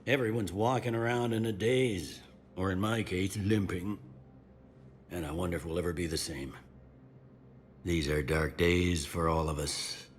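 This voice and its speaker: A man's voice: sits low, fairly even intonation, measured pace, a little hiss or hum. A man playing a weary, middle-aged security officer in a video game, plain-spoken and downbeat. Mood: weary, sombre. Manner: downbeat, matter-of-fact.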